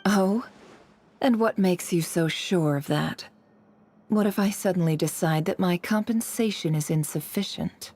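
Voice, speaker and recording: Shrewd self-assured woman, game character, dark mellow tone, lowish pitch, teasing, measured. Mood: coolly amused. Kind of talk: video game voice acting.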